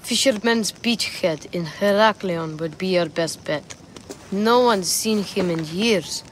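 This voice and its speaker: A woman voicing a curious, cheeky young boy in a video game, excitable and boastful. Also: medium pitch, slow, a little hiss or hum, natural voice, poor audio. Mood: chatty.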